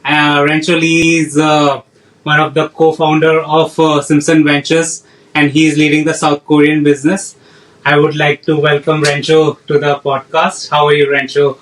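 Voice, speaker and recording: A man's voice: fairly high pitch, monotone, normal speed, a bit bright, recorded from a distance, low-quality recording. A man hosting a casual podcast interview, asking questions with frequent hesitations and fillers. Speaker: podcast host.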